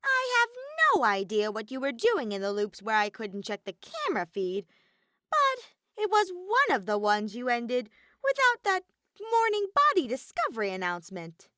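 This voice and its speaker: Squeaky, flippant villainous robot bear. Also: female voice, wildly swooping pitch, moderate pace, sharp tone, no echo, clean studio sound, heavily acted. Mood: grumpy, exasperated. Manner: flippant, exaggerated cartoonish delivery. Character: mischievous, grumpy robotic bear villain with stubby arms.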